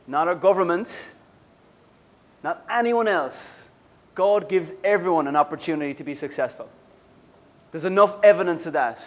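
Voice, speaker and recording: A man giving a motivational workshop speech in an emphatic, earnest manner, deliberately repeating key lines. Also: a little high. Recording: music behind the voice.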